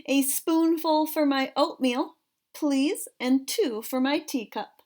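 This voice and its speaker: A woman voicing a gentle, polite old male zookeeper from a children's storybook. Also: high voice, takes it slowly. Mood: polite, contented.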